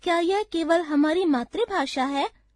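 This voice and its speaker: A woman's voice: extremely high pitch, unhurried, no echo, clean studio sound, pitched above the performer's own voice. A woman voicing a curious young schoolchild who asks questions in a classroom dialogue. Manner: inquisitive, surprised questions.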